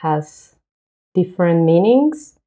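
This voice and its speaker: A woman, talking as an interview guest in a relaxed, thoughtful way with soft laughs. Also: on the low side, slow pace, narrow, tinny audio. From southern Chile. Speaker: guest, lighting designer. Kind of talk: casual interview talk. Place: home.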